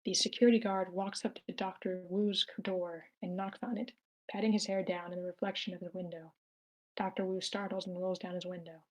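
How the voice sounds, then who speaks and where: A woman's voice: lowish voice, little pitch movement, a little fast, low-quality recording. A woman narrator reading screenplay stage directions aloud.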